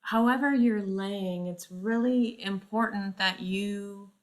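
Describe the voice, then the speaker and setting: A woman teaching yoga nidra, speaking in measured guided-meditation phrases with frequent pauses mid-sentence. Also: mid-range voice.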